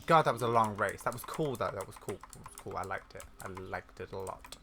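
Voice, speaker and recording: A gaming video host giving casual, excitable, self-deprecating commentary while playing. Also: deep voice, fairly quick, vocal fry. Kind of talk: casual gameplay commentary, reading game dialogue aloud. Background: music behind the voice.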